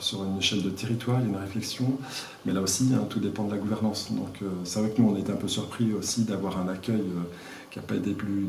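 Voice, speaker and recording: A man with a fairly low voice gives informal interview answers as a local mayor. He speaks hesitantly, often restarting as he looks for the right word. The recording is very roomy, picked up from a distance, with faint noise. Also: gravelly, a little mellow. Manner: hesitant, halting, searching for words. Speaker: village mayor being interviewed. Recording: echoey room, distant mic, faint noise.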